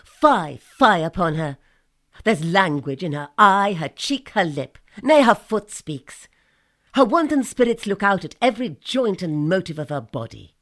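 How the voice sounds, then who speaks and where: A woman's voice: fairly low pitch, very animated, unhurried. A woman playing a sly, scornful Greek strategist, declaiming with bite in a Shakespearean audio drama.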